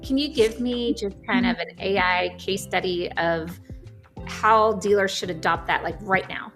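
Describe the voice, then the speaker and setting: A woman's voice: medium pitch, measured pace, fairly bright tone, soft background music. A woman hosting an interview show in a prepared, businesslike format. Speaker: host, magazine publisher.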